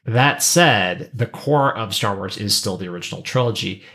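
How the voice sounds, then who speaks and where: A man's voice: on the low side, lively intonation, normal speed, fairly bright tone. A man hosting a podcast, talking casually about pop culture and thinking aloud in a rambling way. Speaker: podcast host.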